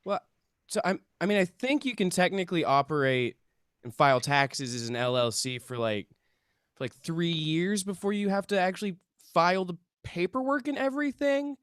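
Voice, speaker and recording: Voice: medium pitch, animated, moderate pace, fairly bright tone, smooth, no echo, studio quality, lightly acted. A performer in a table read playing Hank, a defensive, paranoid male amateur UFO researcher who speaks emphatically and stammers. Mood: earnest, self-important. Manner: stammering, emphatic, self-serious. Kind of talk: table read of a comedy script.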